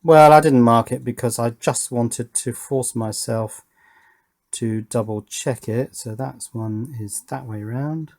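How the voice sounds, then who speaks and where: A man's voice: mid-range voice, slow, a little mellow. A man giving casual, understated hands-on commentary as he works on a hobby electronics build, often thinking aloud and hesitating. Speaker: hobby electronics video maker.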